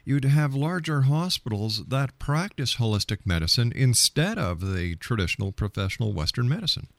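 A man's voice: mid-range voice, sing-song, moderate pace, dry recording. A man hosting a radio interview, putting probing, challenging questions to a guest in a conversational way.

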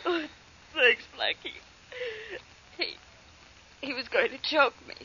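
An anxious woman mixed up in a crime on an old radio mystery. Her voice is tense and a little rough. The sound is muffled, like an aged broadcast. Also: high voice, big pitch swings, slow pace, bright, forceful tone, heavily acted. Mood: shaken, remorseful. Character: anxious young female accomplice, frightened and remorseful. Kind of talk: 1940s radio acting.

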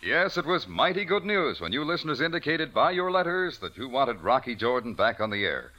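A man's voice: medium pitch, very animated, brisk, pushed and bright. A man working as a radio announcer, reading scripted copy in 1940s style on an old radio recording.

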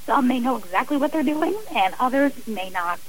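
A woman's voice: a little high, animated, a little fast, crowd noise. A woman speaking as an interview guest on radio, earnest and emphatic, explaining with examples and repeated phrasing. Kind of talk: radio interview, personal and advisory talk.

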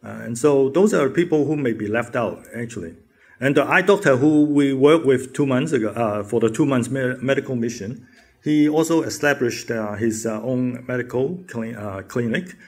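A man with a husky, slightly rough voice describes his mission work in a mid-range pitch. He speaks earnestly, pausing often and restarting his sentences. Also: a little mellow. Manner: halting, many fillers, earnest. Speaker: missionary presenter. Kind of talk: church mission presentation.

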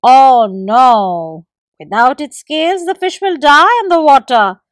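A woman's voice: sits high, lively intonation, slow pace, no echo. A woman voicing a clever, boastful male forest gecko in a children's story, stubbornly turning down every request. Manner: proud, stubborn refusals.

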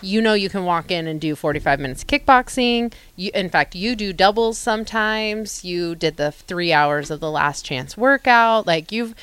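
A woman's voice: mid-range voice, moderate pace, hardly any pauses, a bit bright, clean tone. A woman in her forties co-hosting a casual interview, chatting in an encouraging, conversational way. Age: 40s. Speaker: co-host.

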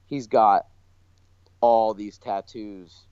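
A man's voice: on the low side, steady tone, drawn-out words, a little mellow, clear, resonant tone, no room sound, dull audio. A man co-hosting a podcast in casual, enthusiastic chat. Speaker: podcast co-host.

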